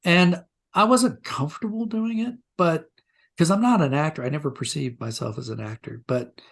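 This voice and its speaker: A man's voice: fairly high pitch, normal speed, creaky, a bit bright. An older man with an American accent telling anecdotes conversationally as an interview guest, reflective and self-deprecating.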